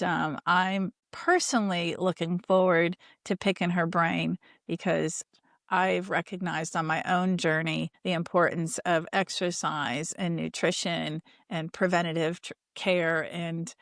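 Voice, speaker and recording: A woman's voice: lowish voice, steady tone, unhurried, vocal fry, no echo, clean studio sound. A woman hosting a podcast interview, chatting enthusiastically and rambling with restarts. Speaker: podcast host. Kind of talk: casual interview chat.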